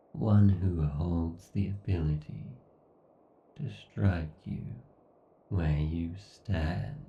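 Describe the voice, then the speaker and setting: Man voicing a very dark, slow AI villain god. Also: heavily acted. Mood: gloating menace. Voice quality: gravelly, dark, low. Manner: slow, brooding, condescending, theatrical. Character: obsessed, menacing villain AI god, male, condescending. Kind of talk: horror ASMR roleplay acting. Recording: dull, roomy, slightly distant sound.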